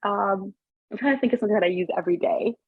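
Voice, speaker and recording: Chatty thirties woman guest, husky, dull dry recording. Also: medium pitch, rapid-fire, no echo. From Sri Lanka, raised in Canada. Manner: chatty, lots of "like" and restarts, laughs easily. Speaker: podcast guest, business founder. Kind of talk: casual interview chat.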